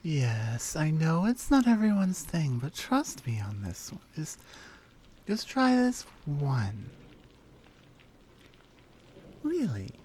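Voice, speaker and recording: A woman voicing a flirty, confident lioness in an intimate, teasing ASMR roleplay, softly coaxing and often slipping toward a whisper. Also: low-pitched, sing-song, takes it slowly, heavily acted. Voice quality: soft, breathy. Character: flirty, confident, forward adult lioness armorsmith.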